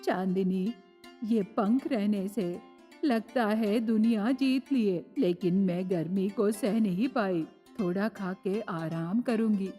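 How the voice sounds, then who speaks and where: A woman voicing an elderly, dreamy village grandmother in an animated story, with simple drawn-out speech. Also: mid-range voice, long reverb tail, faint music behind, pitched below the performer's own voice, close to an everyday voice. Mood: longing, weary.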